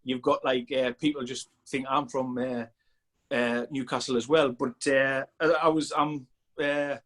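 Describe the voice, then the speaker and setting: A man's voice: mid-range voice, little pitch movement, unhurried, a bit bright. A man in his forties with a Sunderland accent, chatting casually as a podcast guest, rambling with many restarts. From Sunderland, England. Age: 40s. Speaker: strength coach guest.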